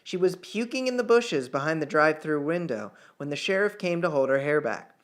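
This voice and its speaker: A man's voice: a little high, expressive, fairly quick, fairly bright tone. A man narrating fiction in an audiobook style, reading aloud with the odd small stumble. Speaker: author reading own novel chapter.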